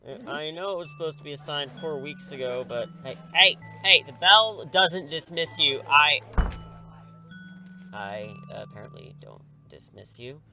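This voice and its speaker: A man plays a casual, worn-down male English teacher in an audio drama. The voice is very bright and forceful, slangy and halting, over faint music. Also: fairly high pitch, expressive, gravelly, heavily acted. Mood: exasperated. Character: laid-back, exasperated male high school English teacher. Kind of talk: scripted audio drama acting.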